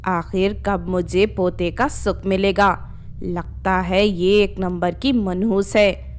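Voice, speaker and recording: A woman's voice: mid-range voice, steady tone, slow pace, pitched below the performer's own voice, lightly acted. A woman voicing a greedy, scheming older mother-in-law in a harsh, accusing, commanding way for a cartoon story. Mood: bitter, complaining.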